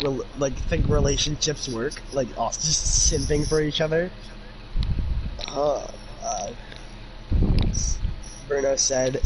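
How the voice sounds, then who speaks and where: A man reading a story aloud and chatting about it in casual slang, sometimes stumbling over the words, on an amateur recording. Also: mid-range voice. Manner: informal, youthful slang, stumbling over the text. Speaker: co-host reading fan fiction aloud. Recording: noisy amateur home recording.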